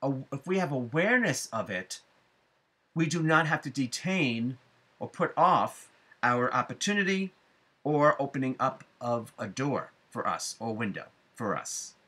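A middle-aged man giving a spiritual forecast to viewers, speaking deliberately with emphatic phrasing and frequent pauses. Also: medium pitch, expressive, pushed and bright, husky. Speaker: astrology forecaster and psychic medium. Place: small room at home, indoors.

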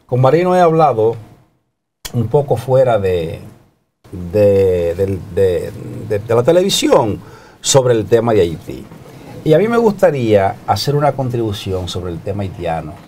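Dominican man in his sixties, mellow-bright studio talker. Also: mid-range voice, sing-song, normal speed, slight background noise. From Dominican Republic. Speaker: guest panelist, TV channel director. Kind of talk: anecdotal political talk. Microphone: lapel.

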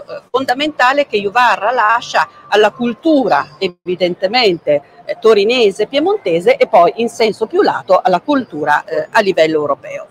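Woman presenting a book at a fair. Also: on the high side. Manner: measured, frequent "eh" pauses, gestures while talking. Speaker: book curator presenting a volume. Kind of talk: public book presentation talk. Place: stand in a large indoor book fair hall.

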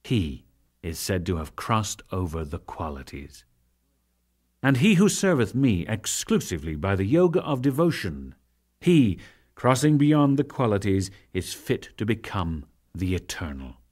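A man reading as a solemn, expressive god. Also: fairly low pitch. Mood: solemn teaching. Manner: solemn, exalted, measured oratory. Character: serene, authoritative male god teaching his disciple. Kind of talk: dramatic scripture reading.

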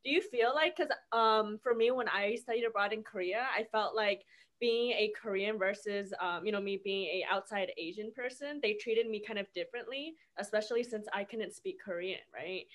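A woman's voice: medium pitch, normal speed, a bit bright. A woman co-hosting a casual interview over a video call, asking questions with some hesitation. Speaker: podcast co-host.

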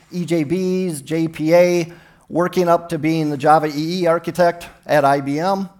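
A middle-aged man from the central United States giving a friendly, conversational technical talk on a conference stage. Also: on the high side. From Minnesota, United States. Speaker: conference speaker. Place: large conference hall stage.

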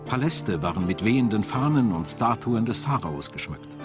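Low-pitched male documentary narrator, music behind. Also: animated, normal speed. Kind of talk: scripted documentary narration and voice-over.

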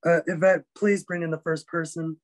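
A man's voice: a little high, little pitch movement, moderate pace, lightly acted. A man playing an impatient, short-tempered theater director, curt and sighing, on a webcam.

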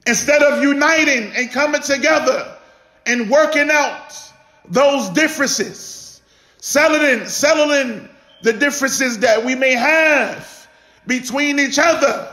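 High-pitched man preaching slowly and passionately. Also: sharp tone. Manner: exhortatory, emphatic repetition and rhetorical questions. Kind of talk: impassioned sermon. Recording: echoey room.

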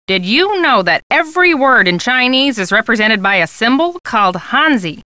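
Gushing, high-pitched chatterbox photojournalist. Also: female voice, very animated, normal speed, sharp tone, no room sound, studio quality. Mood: chatty, informative. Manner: gabby, gushing, rambling. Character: chatty, warm, scatterbrained woman photojournalist, easily carried away. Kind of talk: video game character dialogue.

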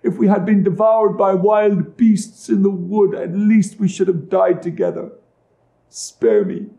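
A man's voice: very high voice, expressive, moderate pace, mellow, echoey room, pitched above the performer's own voice. A man voicing a frightened little girl in a storybook tale, plaintive and pleading. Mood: despairing, pleading.

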